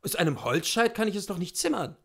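A man's voice: high voice, lively intonation, fast talker, gravelly, a bit bright, pitched above the performer's own voice, exaggerated voice. A man voicing a young coachman who hastily defends himself in a read-aloud story. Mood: defensive.

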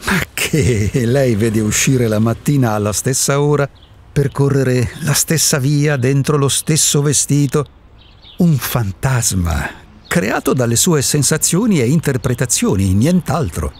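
A man's voice: mid-range voice, expressive, a little slow, darkish tone, breathy edge. Actor as a swooping, wry old ghost man. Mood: dismissive. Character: elderly reclusive male ghost, wry, contrarian philosopher. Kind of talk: radio drama dialogue.

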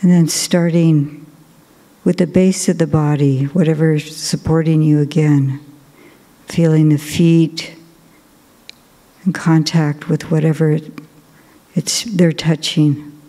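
A woman, a Buddhist nun, guiding a meditation in a slow, gentle, soft-spoken way with long pauses. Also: low-pitched, level tone. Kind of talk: guided meditation. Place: large cathedral. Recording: long cathedral reverb.

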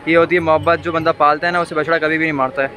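A young man with a Pakistani accent hosts a street vlog in a high voice. He talks very quickly and chattily, addressing his viewers and repeating phrases for emphasis. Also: fairly even intonation, pushed and bright. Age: 20s. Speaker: vlogger and host. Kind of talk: enthusiastic vlog commentary and street interviewing. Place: outdoors at night in a crowded tented cattle display.

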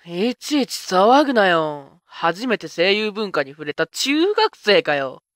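A woman playing a flippant, self-serving young witch with rough, slangy speech in a comic anime voice drama. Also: medium pitch, very animated, takes it slowly, no echo, clean studio sound. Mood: scornful.

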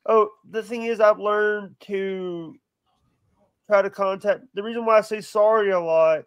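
High-voiced man with a stutter. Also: steady tone, a little slow, clear, resonant tone, no echo, muffled recording. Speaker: podcast host. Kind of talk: casual podcast chat.

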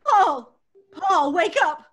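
A woman's voice: very high voice, very slow, a bit bright, faint music behind, full character voice. A woman playing an anxious, pleading wife in an old-time radio drama. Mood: frightened, pleading.

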